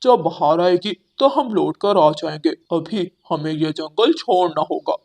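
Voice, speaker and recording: A woman voicing a serious, decisive crow among forest birds in an animated story. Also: fairly low pitch, measured pace, voice pushed down. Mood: serious, firm.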